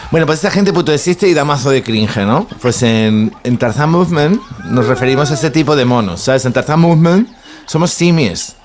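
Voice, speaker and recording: A man's voice: a little high, lively intonation, normal speed, close to an everyday voice. A comedian playing a vain, muddled young male influencer with a Spanish accent, who throws in English words and mangles others. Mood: airy, rambling. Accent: Spanish from Spain. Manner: slangy, mixes in English words, fumbles words. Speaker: comedian in character.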